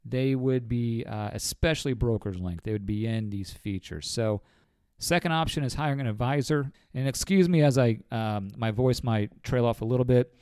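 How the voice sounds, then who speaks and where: A man's voice: medium pitch, normal speed, darkish tone, clear, resonant tone, no room sound. A man in his forties with a cold, a financial advisor explaining retirement savings on a podcast in a folksy way, often calling listeners folks. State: has a cold.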